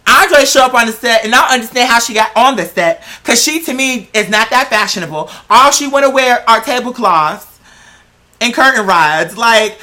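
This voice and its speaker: An androgynous-sounding American vlog host chatting casually and gossiping in slangy, sassy style, leaning hard on drawn-out stressed words. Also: mid-range voice, lively intonation, bright, forceful tone.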